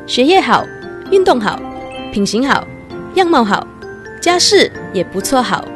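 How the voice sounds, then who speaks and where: High-voiced, playful young student played by a woman. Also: sing-song, a little slow, music in the background. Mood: chatty, fond. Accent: Malaysian Chinese. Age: young adult. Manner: coy, teasing, particle-heavy colloquial speech. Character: bubbly, playful 23-year-old female university student in love. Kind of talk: radio drama acting.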